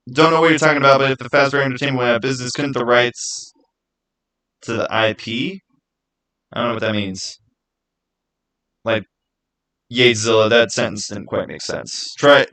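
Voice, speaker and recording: A man's voice: medium pitch, fairly bright tone, rough recording. Insistent male live streamer. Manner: emphatic, insistent, repeats phrases. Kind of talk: casual live chat with viewers, arguing game theories.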